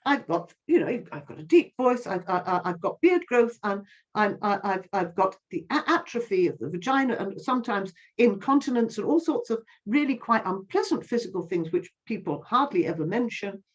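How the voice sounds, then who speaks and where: A woman's voice: mid-range voice, expressive, moderate pace. An older woman giving earnest interview answers, impassioned, often restarting and repeating words. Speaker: interviewee, activist. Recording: slightly dull, lo-fi sound.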